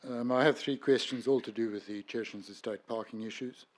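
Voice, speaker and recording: A man's voice: medium pitch, steady tone, a little fast. An Australian man, a resident and lead petitioner, reading formal questions in a precise, aggrieved tone. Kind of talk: reading prepared public questions.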